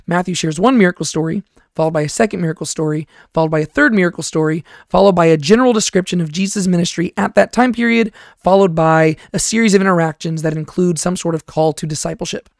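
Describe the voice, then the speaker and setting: A tired, hoarse man hosting a Bible study podcast, speaking softly into a close microphone and often asking "right?". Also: high voice, no room sound. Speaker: podcast host and Bible teacher. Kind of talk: casual teaching talk.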